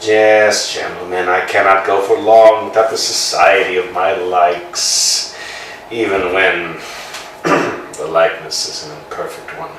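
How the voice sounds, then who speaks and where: Guy doing a pompous bellowing lord type, slow and showy, roomy distant sound. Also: sits low, steady tone, a bit bright, slight background noise. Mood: commanding. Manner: grandiose, bellowing commands. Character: pompous domineering male landowner, barking orders. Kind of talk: staged play reading. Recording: echoey room, far mic.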